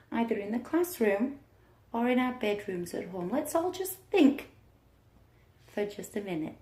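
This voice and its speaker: A woman teacher reading aloud to young children in an encouraging manner, with questions and deliberate pauses. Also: mid-range voice, dark tone. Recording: roomy, echoing room sound.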